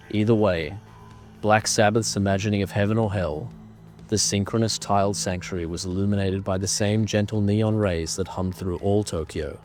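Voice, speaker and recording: A man narrating a scripted horror story in a measured, dramatic manner. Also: low voice, darkish tone.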